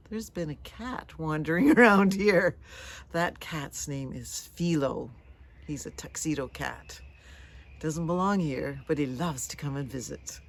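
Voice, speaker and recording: A woman reading a storybook aloud to children, gentle and conversational, with chatty, questioning asides. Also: sits low. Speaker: storybook reader.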